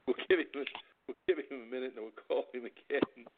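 A man hosting a casual talk show, speaking hesitantly with many pauses and filler sounds. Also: medium pitch. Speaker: podcast host. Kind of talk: casual chat. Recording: phone-like line with clear background noise.

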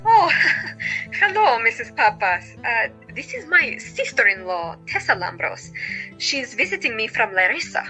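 A joyous, caring lady doctor from a period audio drama, acted by a woman. Her voice is bright and a touch high, with a cheerful, coaxing delivery. Light music sits behind her. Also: very animated, unhurried, exaggerated voice, poor audio. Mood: friendly, reassuring.

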